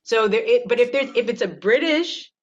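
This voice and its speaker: A woman's voice: fairly high pitch, animated, moderate pace, muffled recording, slight background noise. A woman from Toronto with a Canadian accent, speaking candidly and animatedly as an actor on a panel, often laughing as she talks. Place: virtual panel over video call.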